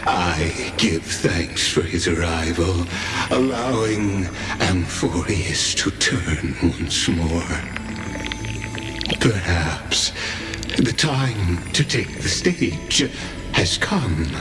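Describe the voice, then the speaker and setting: An actor playing a calm, menacing schemer in a video game, speaking with measured, theatrical and ominous politeness. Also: male voice, deep voice, heavily acted. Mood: ominous, composed. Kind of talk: video game villain monologue. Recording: loud music behind the voice. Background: game music.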